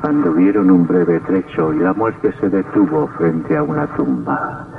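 Male narrator for vintage radio horror: ominous, heavy creak, thin phone-like band. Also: low-pitched, expressive, unhurried. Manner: dramatic, ominous. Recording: tinny, distant old radio recording, faint music.

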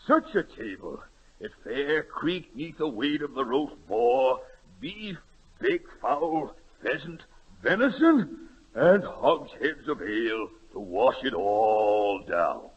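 A man's voice: mid-range voice, expressive, slow, breathy edge. A man playing a boastful, gluttonous baron who declaims in archaic speech in a 1930s radio skit. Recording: dull, echoing 1930s radio recording.

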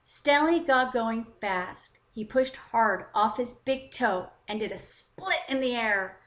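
A woman hosting a children's story time, narrating in an expressive, deliberate read-aloud style. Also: a little high. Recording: roomy, echoing voice recording.

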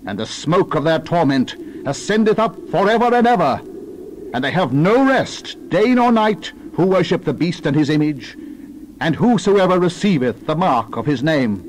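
A man's voice: a little high, sing-song, a little slow, slight background noise. A man narrating a dramatized scripture reading in a solemn, theatrical, declamatory manner. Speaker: Bible narrator.

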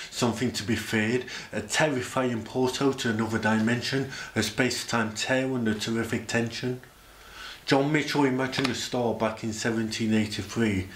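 A man of about forty from Sheffield, reciting rhyming spoken-word science poetry deliberately, with dramatic pauses. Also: lowish voice. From Sheffield, England. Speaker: presenter. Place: indoor room, speaking to camera. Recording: echoey room.

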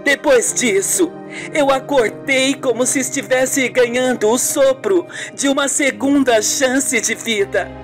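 A performer doing a high-pitched, earnest young woman patient. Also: slow, faint music behind. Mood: amazed, joyful. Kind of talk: radio soap opera acting.

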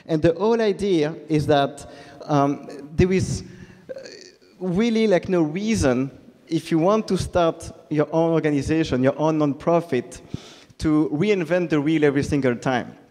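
A man with a French-speaking Belgian accent gives a casual conference talk, full of fillers and restarts. His voice sits a little high. The sound carries the long reverb of a big hall. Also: measured pace, warm tone. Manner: conversational, many fillers and restarts. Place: large hall with audience. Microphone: handheld mic.

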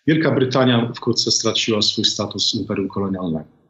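Deliberate middle-aged man on a video call. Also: on the low side, sharp tone. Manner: deliberate, many hesitations. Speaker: guest, former ambassador. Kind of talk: measured political analysis. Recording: echoey, dull video call audio.

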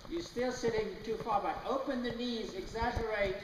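A high-voiced man instructing a rider, heard from across a big indoor riding arena. His voice rings in the long reverb of the hall, with clear noise underneath. He explains patiently and keeps checking the rider is following. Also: normal speed, far from the mic. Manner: patient, explanatory, frequent "okay?" check-ins. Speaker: riding clinician. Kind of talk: coaching a rider. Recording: roomy echoey arena from afar with noise.

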